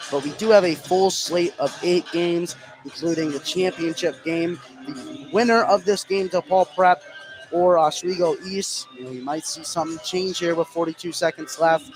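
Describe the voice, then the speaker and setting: A man doing live play-by-play basketball commentary for radio from a high school gym. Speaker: play-by-play sports announcer.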